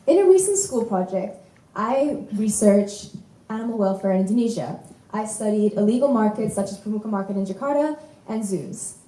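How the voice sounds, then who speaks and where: A woman's voice: mid-range voice, animated, moderate pace, mellow. A teenage girl giving a rehearsed student presentation at a conference. She speaks earnestly and sometimes stumbles and corrects herself. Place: conference hall. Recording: roomy hall echo.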